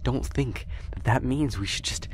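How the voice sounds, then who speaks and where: A man playing an anxious, bewildered magical being in an audio roleplay, halting and breathy as he stammers over repeated words. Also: mid-range voice, slight background noise, close to an everyday voice. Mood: urgent, unsure. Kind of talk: whispered, emotional audio roleplay acting.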